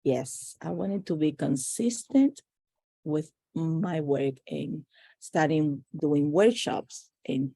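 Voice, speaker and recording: Middle-aged female podcast guest, Dominican Spanish accent, slow and halting, with plenty of vocal fry. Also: low-pitched, warm tone, no room sound. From Dominican Republic. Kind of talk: casual interview answers.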